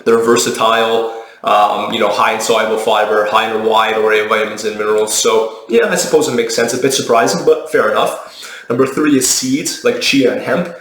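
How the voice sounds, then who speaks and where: Guy dietitian doing a casual explainer, pretty flat delivery, roomy echo, matter of fact. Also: on the low side, brisk, a little hiss or hum. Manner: conversational, matter-of-fact, opinionated. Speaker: dietitian presenting a video. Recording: roomy, echoey indoor sound.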